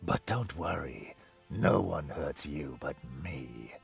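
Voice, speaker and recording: A man voicing a menacing, brutal enforcer in a fantasy game, terse and threatening, with a gravelly rasp. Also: very deep voice, big pitch swings, takes it slowly, soft background music, heavily acted. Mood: grim menace.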